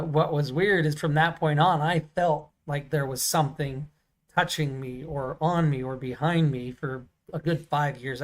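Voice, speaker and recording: A man with a loud, carrying voice, co-hosting and telling stories in a rambling casual chat with many fillers, over a video call. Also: fairly high pitch, rough recording. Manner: loud voice that carries. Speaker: podcast co-host.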